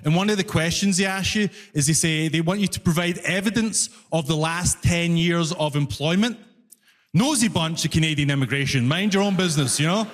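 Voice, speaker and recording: Male stand-up comedian, Glaswegian accent, high voice, reverberant live venue recording. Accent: Glaswegian Scottish. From Glasgow, Scotland. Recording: live stage with long reverb.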